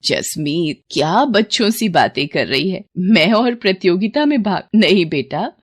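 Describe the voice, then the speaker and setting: A woman's voice: on the low side, animated, measured pace, husky. Woman voicing a devoted, weary Indian mother. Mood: hesitant. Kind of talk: animated moral story dialogue.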